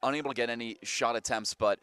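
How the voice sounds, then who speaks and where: A man calling play-by-play for a college soccer match, with a slightly hoarse voice, rattling off the action and getting louder and higher when attacks build. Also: fairly even intonation. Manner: rapid running call that jumps up in pitch and volume on attacks. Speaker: play-by-play commentator. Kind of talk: live soccer play-by-play commentary.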